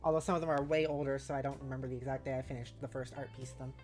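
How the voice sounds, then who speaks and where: A woman streamer chatting casually with viewers, giggly and halting as she thinks aloud and reads out messages. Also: extremely low pitch, fairly even intonation, a little mellow. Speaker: streamer, host. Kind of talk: casual livestream chat, reading out viewer messages. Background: music.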